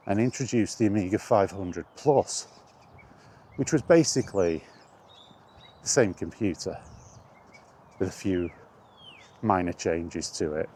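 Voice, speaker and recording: A man's voice: on the low side, warm tone, a little hiss or hum. A man talking in a casual, rambling monologue, reminiscing and thinking aloud as he goes. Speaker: host of a gaming chat vlog.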